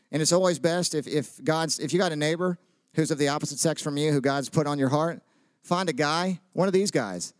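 A man's voice: on the high side, no echo, studio quality. A man, preaching a casual sermon full of jokes, questions to the audience and personal stories. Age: 30s. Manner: chatty, joking, asks the audience questions.

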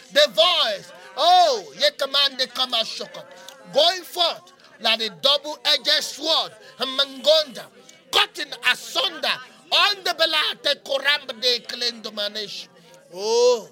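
A man with a very high, sharply bright voice prays as a charismatic preacher. His delivery is impassioned and incantatory, built on repeated phrases and mixed with speaking in tongues. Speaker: preacher leading prayer.

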